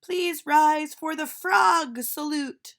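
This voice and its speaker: A woman's voice: high voice, gravelly, pitched above the performer's own voice, exaggerated voice. A woman voicing a cheerful, silly schoolteacher from a children's storybook, calling out announcements emphatically. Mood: bright, announcing. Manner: loud, emphatic announcing, drawn-out words. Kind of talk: storybook read-aloud for children.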